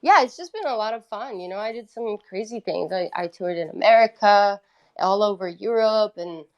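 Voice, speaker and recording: A woman's voice: medium pitch, expressive, normal speed, a little mellow, highs cut off. Woman chatting casually. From Italy. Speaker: guest, independent musician. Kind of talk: casual conversational storytelling.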